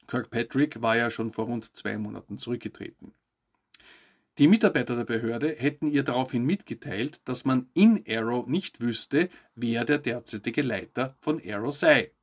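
A man hosts a news show, reading scripted narration with personal commentary in a measured, articulate way. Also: medium pitch. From Austria. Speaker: host of a weekly UFO news show.

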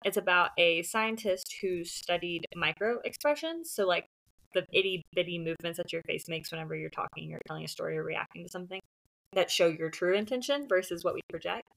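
A woman's voice: on the low side, a little fast, gravelly, a bit bright, rough recording. A woman discussing books casually as a co-host, explaining her points in a conversational way. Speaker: book podcast co-host.

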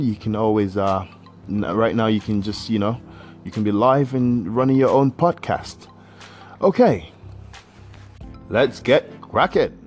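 A man hosting his own solo podcast, rambling and hesitant, full of uhs and restarts, swinging between animated outbursts and low mutters. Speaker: podcast host. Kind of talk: casual solo podcast monologue.

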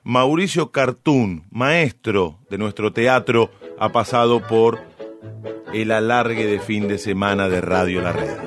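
Low-voiced male radio host. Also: takes it slowly, a bit bright, soft background music. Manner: courteous, attentive. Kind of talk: radio interview hosting.

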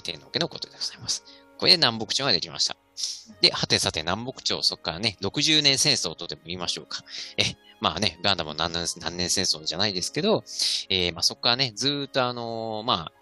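A man giving an informal, impromptu history explanation in halting, choppy phrases with many fillers. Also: lowish voice, bright, forceful tone. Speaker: history club member, podcast panelist.

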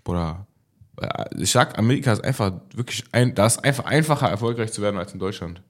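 Fast-talking young man, low voice. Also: animated. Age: young adult. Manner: slangy, joking, fast banter. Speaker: podcast host. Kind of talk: casual chat.

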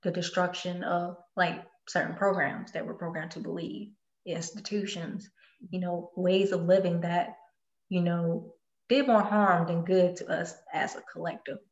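A woman hosting a podcast, talking in a reflective, soft-spoken way with many fillers. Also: on the low side, steady tone, a little slow. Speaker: podcast host. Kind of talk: casual podcast chat. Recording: roomy, dull lo-fi sound.

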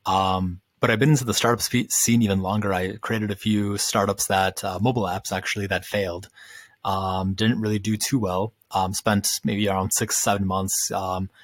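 A man's voice: on the low side, flat delivery, moderate pace, dry recording. A man in his late twenties, from Ukraine, talking in a casual interview as a guest entrepreneur, rambling with many fillers and restarts. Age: 20s.

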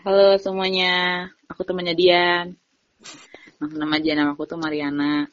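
A woman's voice: lowish voice, level tone, moderate pace, highs cut off. A young woman, a podcast guest, chatting casually, telling stories animatedly and giggling often. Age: young adult. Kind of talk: casual chat.

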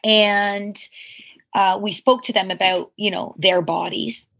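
Woman, 40s, husky podcast guest, candid and reflective, wandering storyteller. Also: mid-range voice, fairly even intonation, slow pace, fairly bright tone, lo-fi sound. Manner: reflective, rambling with asides and fillers.

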